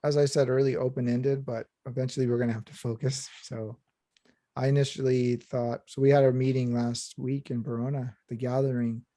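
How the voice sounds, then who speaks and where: Dark-voiced man, reflective historian. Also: medium pitch, level tone, fairly quick, no room sound. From Southern California. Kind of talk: panel discussion.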